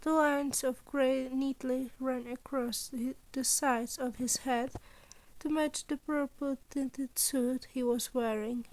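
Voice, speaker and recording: A woman's voice: sits high, steady tone, slow pace, a little mellow, low-quality recording. A woman reading scripted fiction aloud as the narrator of an audio story. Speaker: podfic narrator.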